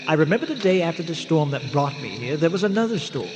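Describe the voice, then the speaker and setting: An actor playing an earnest, eccentric castaway scientist in a radio drama, speaking formally with hesitant stammers. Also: male voice, on the high side, sing-song, quick pace. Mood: explaining intently. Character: eccentric, long-stranded scientist explorer, earnest and courtly. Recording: noisy radio drama, long cavernous reverb.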